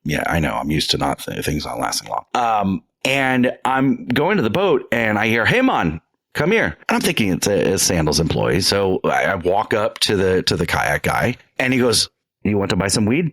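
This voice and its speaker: A man co-hosting a casual podcast, telling travel stories in an animated way with lots of restarts and laughter. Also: deep voice, normal speed, hardly any pauses. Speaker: podcast co-host.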